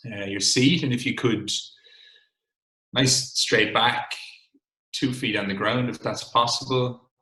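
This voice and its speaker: A man in his thirties with a Cork Irish accent, calmly leading a wellbeing webinar and gently inviting listeners into an exercise. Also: mid-range voice, takes it slowly, long pauses, reverberant, low-quality recording. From Ireland. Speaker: workshop facilitator. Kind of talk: webinar presentation. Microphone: video call.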